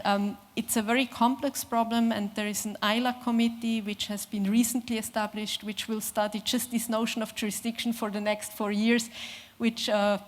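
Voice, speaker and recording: A woman panelist giving measured, careful academic remarks at a conference, pausing often with fillers. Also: medium pitch. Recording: reverberant conference hall.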